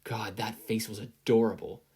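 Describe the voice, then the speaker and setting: A narrator reading a scripted story aloud in an expressive storytelling manner. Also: mid-range voice, moderate pace, breathy edge. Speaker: podfic narrator.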